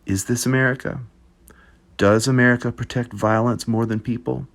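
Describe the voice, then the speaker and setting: A man reading an essay aloud at a group reading, measured and descriptive. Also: mid-range voice, fairly even intonation, creaky.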